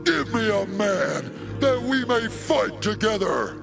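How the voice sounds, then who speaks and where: An actor playing a boastful, menacing giant champion warrior in a dramatized Bible, declaiming his challenge in drawn-out phrases. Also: high voice, big pitch swings, gravelly, exaggerated voice. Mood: menacing, defiant. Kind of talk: dramatized Bible audio acting. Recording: voice over loud background music.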